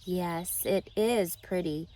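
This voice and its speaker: A woman voicing a gentle, wise, aging female spider in a storybook, weak and soft, speaking in measured, articulate phrases. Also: fairly low pitch. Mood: calm, assuring.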